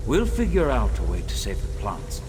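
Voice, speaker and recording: A man's voice: fairly low pitch, huge pitch swings, moderate pace. A man voicing a confident, scornful young apprentice in reverberant fantasy game dialogue. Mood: determined. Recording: long reverb, clear background noise.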